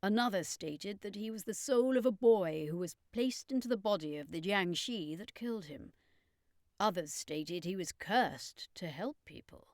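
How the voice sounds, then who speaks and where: A woman's voice: medium pitch, lively intonation, normal speed, a little mellow, no echo, clean studio sound, close to an everyday voice. Woman putting on an assured male circus master. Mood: assured, businesslike.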